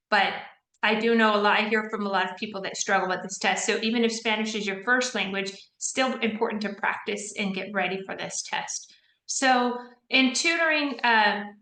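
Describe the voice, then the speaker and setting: Bright-voiced woman tutoring online. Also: mid-range voice, reverberant, rough recording. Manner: chatty, informal, frequent ums and "right?" checks. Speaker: test-prep tutor. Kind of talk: online group tutoring session. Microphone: computer mic on a video call.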